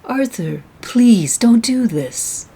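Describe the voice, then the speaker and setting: A woman playing a frightened, pleading wife betrayed by her husband, breathy and dramatic, in a noir radio play. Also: on the high side, expressive, very slow, warm tone, full character voice. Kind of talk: noir radio melodrama acting.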